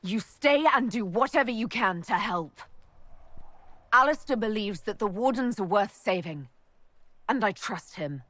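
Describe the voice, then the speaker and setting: A woman's voice: medium pitch, lively intonation, measured pace, a bit bright. An actress playing a resolute woman who leads a holy order, speaking in a composed, authoritative way in a game cutscene. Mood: firm, decisive. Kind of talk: dramatic game cutscene dialogue.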